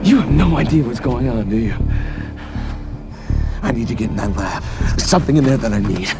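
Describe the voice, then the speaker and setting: A man's voice: mid-range voice, lively intonation, brisk, music in the background, close to an everyday voice. Man playing an aggressive, desperate armed renegade. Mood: tense, demanding. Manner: aggressive, profane. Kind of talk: action thriller dialogue.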